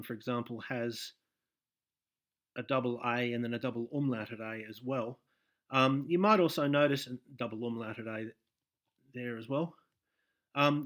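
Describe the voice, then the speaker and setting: This is a man hosting a game development tutorial at his desk, speaking at an ordinary mid pitch. He keeps stopping to restart sentences, fills the gaps with ums and lets his lines trail off quietly. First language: English. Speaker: game dev tutorial host. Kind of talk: screen-share coding tutorial.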